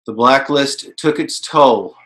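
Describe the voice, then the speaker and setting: Man acting a thoughtful, learned, mid-pitched storyteller. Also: slow pace, fairly bright tone. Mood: grave. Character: thoughtful, learned narrator reflecting on the Hollywood blacklist. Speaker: stage actor in a play reading. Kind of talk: theatrical monologue in a staged reading.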